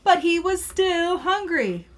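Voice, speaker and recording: A woman reading a picture book aloud as a mother to her young child, expressive and pausing to prompt. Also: very high voice.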